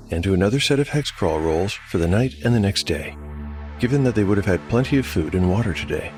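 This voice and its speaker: A man narrating a solo tabletop fantasy game as game master, in a dramatic storytelling style. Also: sits low. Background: prominent music.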